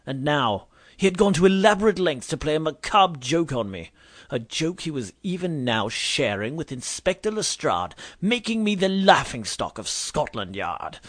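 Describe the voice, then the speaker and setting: A man's voice: on the high side, sing-song, normal speed, fairly bright tone, dry recording, clean studio sound. A man playing an indignant, self-important Victorian doctor in an audio drama, pompous and theatrical in formal phrasing. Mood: aggrieved. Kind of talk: audio drama acting and dramatic first-person narration.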